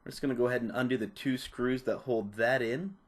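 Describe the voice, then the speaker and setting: A man's voice: mid-range voice, steady tone, quick pace. Man casually narrating a how-to. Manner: informal, step-by-step instructing. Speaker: repair tutorial presenter.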